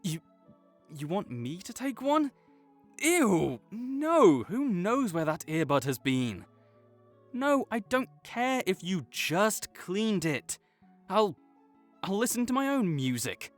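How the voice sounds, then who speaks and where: A bristly, sarcastic academic rival, voiced by a young man bickers with the listener in a slightly raised, lively voice. The sound is dry and close, with faint music underneath. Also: high voice, slow, exaggerated voice. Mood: bickering. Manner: teasing, defensive, sarcastic. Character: prickly young male academic rival, grudgingly kind. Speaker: voice actor in audio roleplay. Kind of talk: audio roleplay acting to a silent listener.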